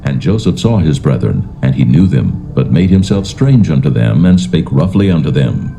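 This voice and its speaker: A man narrating dramatised scripture in a solemn, measured, formal manner. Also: a bass voice, big pitch swings, vocal fry, warm tone. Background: faint music.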